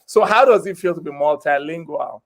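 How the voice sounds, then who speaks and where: High-voiced man hosting, encouraging. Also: brisk. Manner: encouraging, frequent affirming murmurs and laughs. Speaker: pastor and interview host. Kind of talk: casual interview chat.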